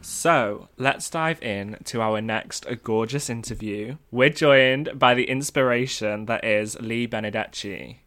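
A man co-hosting a casual podcast interview with a bright, forceful tone. He gushes with enthusiasm and piles on compliments for his guest. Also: medium pitch, a little slow, hardly any pauses. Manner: gushing, enthusiastic, effusive compliments. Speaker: podcast co-host.

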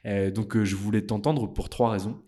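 A man's voice: on the low side, fairly even intonation, moderate pace, warm tone. A man hosting an interview, talking in a friendly, informal way. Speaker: interview host. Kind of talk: conversational interview.

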